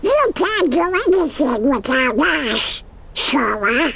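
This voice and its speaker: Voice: high-pitched, very animated, takes it slowly, vocal fry, fairly bright tone, full character voice. A performer voicing a feisty, grumpy male cartoon duck in a video game, with squawky, garbled quacking speech. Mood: scolding.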